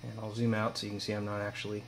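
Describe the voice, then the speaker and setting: Young male student presenter, even monotone, informal and explanatory, faint mains hum behind. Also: on the low side, very fast. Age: young adult. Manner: matter-of-fact, explanatory. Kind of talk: informal demonstration narration. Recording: steady mains hum, background noise.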